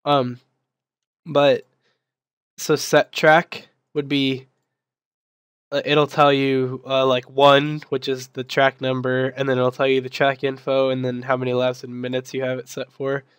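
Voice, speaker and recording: A young man, his voice still weak from being sick, walks through a software tutorial in a casual way, full of ums and restarts. His delivery stays very flat and monotone, and the sound is smooth and clear. The recording is dry, close, and without room echo. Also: mid-range voice, unhurried. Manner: casual, filler-heavy explaining with restarts. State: recovering from losing his voice. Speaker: server admin giving a tutorial.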